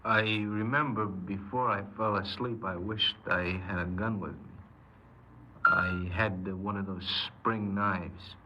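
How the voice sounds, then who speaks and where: An actor playing a bitter, paranoid killer giving a halting confession in a 1950s radio crime drama. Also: male voice, lowish voice, steady tone, lightly acted. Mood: brooding recollection. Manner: halting, brooding, resigned confessional delivery. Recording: dull, noisy 1950s radio recording.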